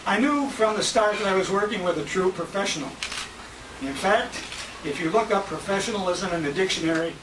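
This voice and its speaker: An older American man speaks as emcee at a banquet tribute, in a voice pitched high for a man. The sound comes from a handheld camera far from him, with strong room echo and clear background noise. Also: a little fast. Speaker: emcee and radio show host. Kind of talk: live tribute speech at a dinner. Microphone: distant handheld compact camera.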